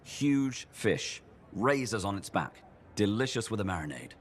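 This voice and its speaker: A man's voice: on the low side, big pitch swings, measured pace, a little hiss or hum. An actor playing a playful, joking male traveler in a video game cutscene. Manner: joking, teasing, theatrical.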